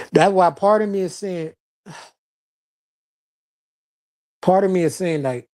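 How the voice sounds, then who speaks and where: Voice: mid-range voice, measured pace, dark tone. Laughing, swearing live-stream host. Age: adult with a child, past teens. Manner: laughs often, swears casually, talks back to chat. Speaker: livestreamer reading viewer chat. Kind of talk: casual live-stream chat.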